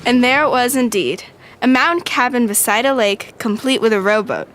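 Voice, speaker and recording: Woman reading a kids storybook, voice a bit high, faint noise behind. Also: moderate pace, fairly bright tone. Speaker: narrator. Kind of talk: scripted children's story narration.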